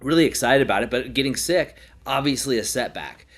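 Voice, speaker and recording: A man's voice: mid-range voice, lively intonation, fairly quick, a bit bright. A stuffed-up man with a cold, hosting a casual self-help talk in a hotel room and rambling with many restarts. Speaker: podcast host.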